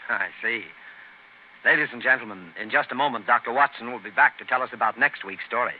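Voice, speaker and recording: A man's voice: medium pitch, brisk. A man with an American accent announcing in scripted 1930s radio style, hosting and reading sponsor messages. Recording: tinny, noisy 1930s radio broadcast.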